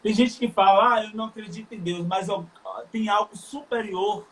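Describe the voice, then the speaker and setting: A man from Salvador with a Bahian accent, speaking earnestly and haltingly in a casual chat, with many fillers. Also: high voice, a little hiss or hum, poor audio. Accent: Bahian Brazilian Portuguese. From Salvador, Bahia, Brazil. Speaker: live stream guest.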